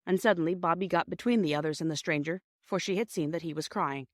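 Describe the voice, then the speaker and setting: A woman's voice: on the low side, fast, dry recording, studio quality. A woman narrating a children's story from a script, as an audiobook reader.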